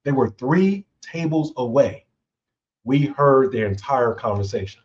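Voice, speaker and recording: A man's voice: medium pitch, expressive, a little slow, darkish tone, muffled recording. A man hosting a casual talk on leadership who speaks conversationally and keeps checking in with "right?". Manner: conversational, repeats "right?" often. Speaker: host.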